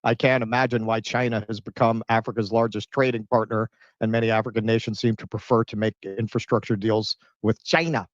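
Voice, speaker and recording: A man's voice: fairly low pitch, little pitch movement, fairly quick, no room sound, muffled recording. A man reading a news summary and adding dry, mocking commentary.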